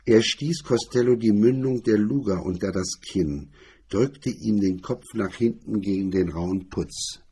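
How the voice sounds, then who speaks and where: A male narrator reads hardboiled crime prose. His voice is on the low side and strongly husky, with a dark, mellow colour. The delivery is scripted and literary. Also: unhurried. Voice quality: husky, rough-edged. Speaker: narrator reading a crime story.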